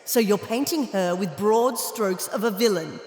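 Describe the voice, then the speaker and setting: A woman's voice: medium pitch, expressive, normal speed, darkish tone, close to an everyday voice. A woman playing a sardonic, lecturing witch in a fantasy audio drama, dry and taunting. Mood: stern lecturing. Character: sardonic, lecturing witch, a voice inside a girl's head. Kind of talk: full-cast fantasy audio drama acting. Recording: echoey reverberant voice, faint music.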